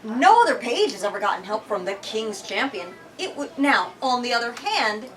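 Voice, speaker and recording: A woman hosting a book talk, reading and explaining dramatically with animated, emphatic, theatrical delivery. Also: on the high side, normal speed, far from the mic, poor audio. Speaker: book talk host and reader.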